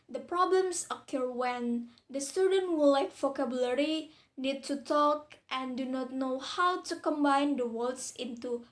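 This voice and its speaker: A young woman with an Indonesian accent, reading a class presentation slowly and deliberately. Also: high-pitched. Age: young adult. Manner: slow, deliberate reading with pauses. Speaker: student presenter. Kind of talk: read-aloud academic presentation.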